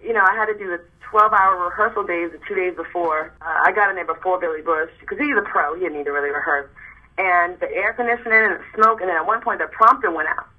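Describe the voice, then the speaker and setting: Raspy, fast-talking young woman. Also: fairly low pitch, phone-quality sound. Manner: chatty, rambling with many restarts. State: exhausted from little sleep. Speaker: interview guest, model and pageant host. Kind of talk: casual chat.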